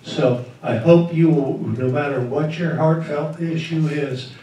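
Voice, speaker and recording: A man's voice: fairly high pitch, fairly even intonation, a little slow, dark tone, a little hiss or hum. An older man making an earnest, persuasive political appeal at a meeting. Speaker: meeting attendee. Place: large meeting hall indoors. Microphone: recorded from far camera. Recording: echoey hall from far off.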